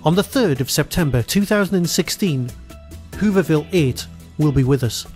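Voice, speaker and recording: A man's voice: on the high side, expressive, moderate pace, darkish tone, faint music behind. A man hosting a podcast, delivering a review as a monologue and often answering his own rhetorical questions.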